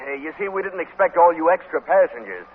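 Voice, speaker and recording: An actor playing a friendly, folksy bus driver in 1950s radio comedy. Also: male voice, a little high, very animated, fast. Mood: obliging. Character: friendly, plain-spoken male bus driver. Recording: tinny, noisy 1950s radio recording.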